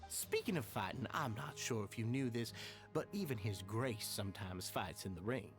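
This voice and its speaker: A man's voice: lowish voice, expressive, normal speed, music in the background, lightly acted. An actor playing an earnest male society leader in a game, polite, eager and a bit nervous.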